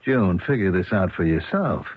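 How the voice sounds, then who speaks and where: An actor playing a gruff, domineering male con artist with condescending, slangy tough-guy talk in a radio drama. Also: deep voice, lively intonation, normal speed. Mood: scheming, scornful. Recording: muffled, phone-like old radio recording.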